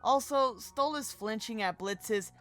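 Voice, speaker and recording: A woman's voice: a little high, a little slow, fairly bright tone. A woman co-hosting a video, reading scripted commentary with casual asides over background music. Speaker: video co-host. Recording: music playing behind the voice. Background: music bed.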